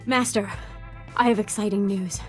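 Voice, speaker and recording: An actress playing an eager, zealous young Sith apprentice in a video game, intense and articulate. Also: female voice, mid-range voice, fairly even intonation, normal speed, soft background music, lightly acted. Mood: eager, fervent. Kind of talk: video game dialogue acting.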